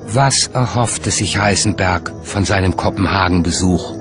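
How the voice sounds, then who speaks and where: A man's voice: low voice, unhurried. A man reading scripted narration for a documentary. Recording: music bed under the voice. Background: music.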